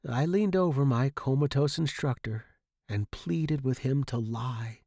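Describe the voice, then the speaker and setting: A narrator giving an expressive, theatrical first-person reading of fiction. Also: mid-range voice, unhurried, dry recording, studio quality. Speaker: story narrator.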